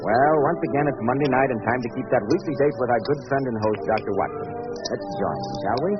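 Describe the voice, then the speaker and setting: A man's voice: medium pitch, lively intonation, quick pace, over loud music. A man announcing and hosting in a scripted 1940s radio style, polished, genial and declamatory. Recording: muffled old radio recording.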